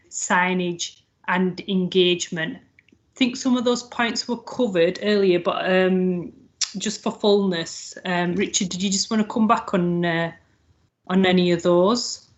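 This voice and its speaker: A woman chairing an online council meeting, reading out questions in a measured way with some fillers. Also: on the low side, steady tone. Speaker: panel chair. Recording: dull lo-fi video call audio.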